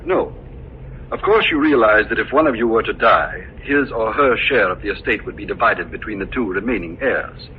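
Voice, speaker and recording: An actor playing a fussy, formal, dutiful estate executor with a hesitant, precise manner in 1940s radio drama. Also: male voice, fairly low pitch, normal speed, natural voice. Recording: muffled, noisy old radio recording.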